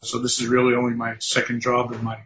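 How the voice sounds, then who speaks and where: A man's voice: mid-range voice, completely flat delivery, fast, fairly bright tone, a little hiss or hum. Older New York man, chatty guest. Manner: chatty, self-deprecating asides. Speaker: guest, recruiting firm founder. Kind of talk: podcast interview. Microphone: remote call. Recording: dull lo-fi remote audio.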